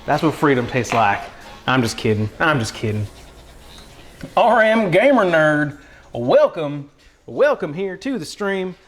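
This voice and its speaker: Man putting on a folksy, higher-pitched country guy. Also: sing-song, a little slow, faint music behind. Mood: folksy, musing, then grateful, chatty. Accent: put-on American country, Southern. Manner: drawling, folksy, rambling, exclamatory. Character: folksy, excitable American countryman, beer-loving and goofy. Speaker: live streamer cooking for chat. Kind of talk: casual chatty livestream.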